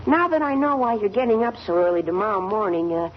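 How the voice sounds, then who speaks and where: A young male actor playing a dry, shrewd son in a 1940s radio comedy, speaking deadpan. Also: high voice, fast talker. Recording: muffled 1940s radio with faint noise.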